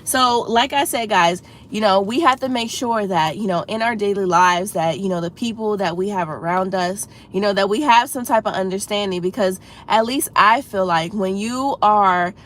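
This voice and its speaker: Female livestream host, congested and slightly froggy, earnest and encouraging, low rumble in the background. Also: normal speed, hardly any pauses. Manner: earnest, encouraging, talks straight to chat with many "you know"s. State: congested with a head cold. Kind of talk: casual livestream chat.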